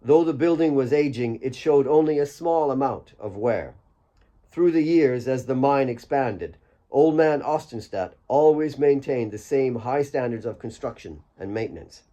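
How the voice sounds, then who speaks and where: A man's voice: medium pitch, normal speed, mellow. A man reading his own fiction as an audiobook, in scripted storytelling narration.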